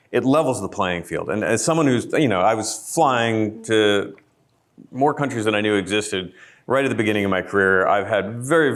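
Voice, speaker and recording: A man speaking thoughtfully as a panelist, measured and reflective. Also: on the low side, fairly bright tone. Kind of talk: panel discussion.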